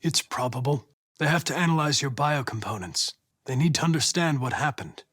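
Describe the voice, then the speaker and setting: A man with an American accent playing a polite, determined young android police investigator in a video game, speaking in a precise, formal, measured way. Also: mid-range voice, animated, a bit bright, slightly rough. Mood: earnest, probing. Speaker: actor voicing a video game character.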